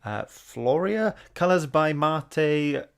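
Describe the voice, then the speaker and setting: A man's voice: a little high, expressive, slow, creaky, clear, resonant tone. A man hosting a book club livestream, chatting informally and greeting viewers. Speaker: host.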